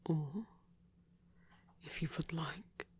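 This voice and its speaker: A man voicing a flirty, courteous vampire in an intimate ASMR roleplay, speaking in a breathy whisper with slow, formal, deliberate phrasing. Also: fairly high pitch, full character voice. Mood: earnest, flirtatious. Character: flirty courteous male vampire, softly seductive.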